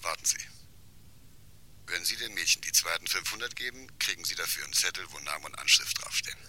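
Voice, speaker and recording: An actor playing a cool, calculating blackmailer, terse and slangy, in a German radio crime drama. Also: male voice, sits low, expressive, a little fast, sharp tone, slight background noise, full character voice. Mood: guarded. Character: shady male blackmailer on the telephone, cool and calculating.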